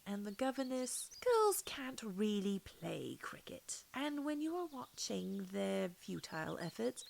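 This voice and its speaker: A woman narrating a children's storybook in an expressive way, with deliberate pauses and a creaky edge to her voice. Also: on the high side. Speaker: audiobook reader. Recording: faint background hiss.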